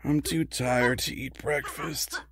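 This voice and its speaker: A man's voice: medium pitch, steady tone, slow, very noisy. A man playing a tired, flustered, grumbling husband of a superheroine, plaintive and sarcastic, in a comedy audio drama. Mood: weary, irritated.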